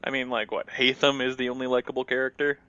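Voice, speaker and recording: A man's voice: mid-range voice, completely flat delivery, quick pace, pushed and bright, clean tone, dry recording, dull audio. A man giving casual gaming commentary in a sardonic, grumbling, profane way. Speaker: Let's Play commentator.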